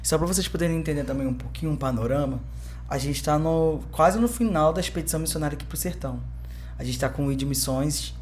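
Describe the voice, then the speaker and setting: A man hosting a podcast, upbeat and welcoming, speeding up when excited. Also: on the high side, slight background noise. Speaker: podcast host. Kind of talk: casual podcast conversation.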